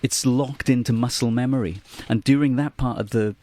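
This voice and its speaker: A man who is a singer being interviewed in a radio studio, speaking in a relaxed, reflective way. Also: medium pitch, moderate pace, no echo. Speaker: singer and musician guest. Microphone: studio microphone.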